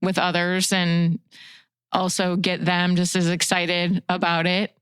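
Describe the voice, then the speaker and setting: A woman's voice: on the low side, completely flat delivery, slow pace, a bit bright, smooth, no room sound. An American woman in her sixties from the Chicago suburbs, speaking earnestly and reflectively as an interview guest. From Chicago suburbs, USA. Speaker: podcast guest, fishing guide and outdoor writer.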